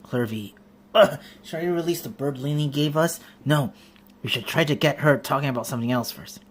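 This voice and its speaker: A woman game streamer reading dialogue and commenting in a halting way on a noisy phone livestream. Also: extremely low pitch.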